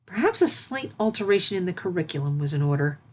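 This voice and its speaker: Low-voiced woman narrating a story. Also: sing-song, quick pace, a little hiss or hum. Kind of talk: scripted storybook narration of fan fiction.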